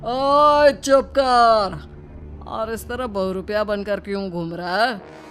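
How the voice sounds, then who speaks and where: A man's voice: high voice, fairly even intonation, slow pace, a bit bright, soft background music. A man voicing blustering and put-upon male characters in a Hindi cartoon story. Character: bumbling street robber and a resigned young husband.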